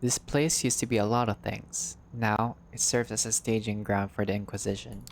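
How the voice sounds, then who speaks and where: A man's voice: lowish voice, little pitch movement, moderate pace, creaky, slight background noise. A man reading a visual novel aloud as a playthrough narrator, in a storyteller-like way with brief casual asides.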